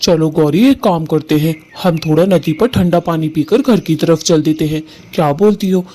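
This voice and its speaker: Cartoon groom-to-be bird played by a woman: young male, mellow tone, earnest, eager, lovestruck. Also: sits low, normal speed, voice pushed down. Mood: devoted. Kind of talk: cartoon story voice acting.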